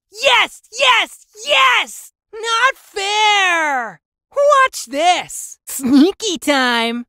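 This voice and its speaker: A gleeful, sneaky girl taunts in a very high, bright voice. She drags her words out slowly, and the sound is dry. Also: no room sound, exaggerated voice. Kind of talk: game character voice lines.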